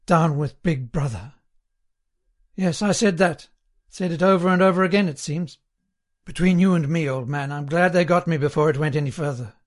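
A chummy, servile party man, as a male narrator puts him on, pitched on the high side. He chats eagerly and earnestly, sententious and sanctimonious, as a character in an audiobook novel. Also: steady tone, fairly quick, lightly acted. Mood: sanctimonious, confiding.

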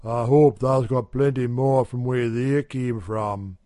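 A man doing a slow, drawling old-dialect husband. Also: mid-range voice, fairly even intonation, mellow, no room sound, lightly acted. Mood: easygoing. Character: easygoing working-class husband, slow-tempered.

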